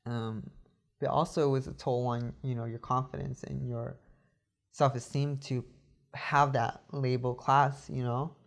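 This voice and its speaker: A young man talks in an earnest, reflective way, often pausing on "you know" as he works through his thoughts. His voice sits at an ordinary middle pitch. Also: level tone, gravelly. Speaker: interviewee. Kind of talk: reflective personal account.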